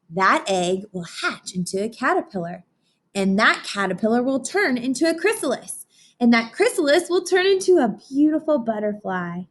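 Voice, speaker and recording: A woman reading a children's book aloud like a teacher, expressive and instructive. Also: on the high side. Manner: expressive, instructive, with dramatic pauses and hushed asides. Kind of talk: children's read-aloud with explanations and questions to listeners.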